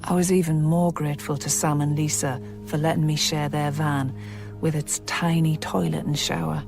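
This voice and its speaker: A wry, down-to-earth woman journalist with a Northern English accent, pitched on the low side. She speaks briskly in radio drama dialogue and narration. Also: level tone, music in the background.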